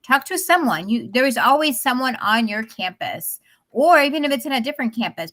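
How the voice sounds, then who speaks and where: A woman's voice: medium pitch, a bit bright. A woman co-hosting a panel talk show in a chatty, earnest, encouraging and emphatic way.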